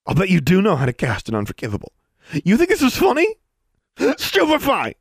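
A man voicing a furious, vengeful teenage witch girl who snarls and shouts accusations in an audiobook story. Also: mid-range voice, sing-song, very fast, breathy edge, dry recording, clean studio sound, voice pushed up. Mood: outraged.

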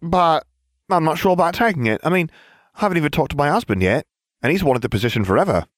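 A man voicing a giggly, airheaded young witch who punctuates her words with a squeaky tee-hee. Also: lively intonation, quick pace, no echo, studio quality, close to an everyday voice. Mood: giggly, flustered.

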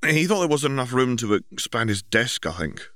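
A man's voice: medium pitch, sing-song, moderate pace, a bit bright, no room sound, studio quality, voice pushed up. A man voicing a blunt, grudging teenage boy in an audiobook story, talking casually. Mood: offhand.

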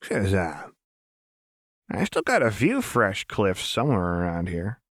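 A man's voice: low-pitched, wildly swooping pitch, unhurried, no room sound, studio quality, full character voice. A man voicing a manic, playful, menacing woman in an audiobook, brash and abrupt, swinging from chummy to threatening. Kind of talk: audiobook character voice.

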